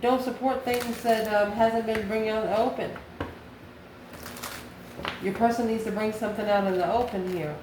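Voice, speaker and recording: A woman reads tarot cards informally in an echoey room with noticeable background noise. Her voice sits at a medium pitch as she speaks straight to the listener. She muses aloud, posing questions and then answering them herself. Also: fairly even intonation, normal speed, pauses often. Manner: musing, asks and answers own questions. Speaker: tarot reader and channel host. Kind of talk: informal tarot card reading, talking to the viewer.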